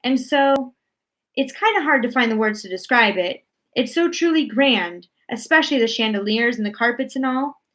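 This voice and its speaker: A woman voicing an excitable, folksy male astronaut from a storybook, gushing as he reports. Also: fairly high pitch, normal speed, voice pushed up. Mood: awed. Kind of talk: storybook read-aloud character voice.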